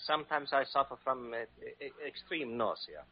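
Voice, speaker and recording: An actor playing a polite, guarded, uneasy hospital patient, halting and formal, in old-time radio drama style. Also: male voice, mid-range voice, natural voice. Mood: nervous. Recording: dull, faintly noisy old radio recording.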